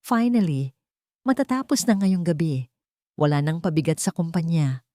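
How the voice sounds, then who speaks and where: A woman's voice: deep voice, animated, moderate pace, dark tone, dry recording, clean studio sound. Woman reading as a cold, calculating husband. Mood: smooth, evasive. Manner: cold, smooth, curt.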